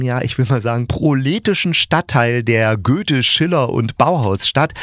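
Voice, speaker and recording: A middle-aged man with a mid-pitched voice talks through a review as a podcast host. He is colloquial and blunt, thinking aloud and correcting himself mid-sentence. The sound is close and dry, with no room echo. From East Germany. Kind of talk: casual opinionated review monologue.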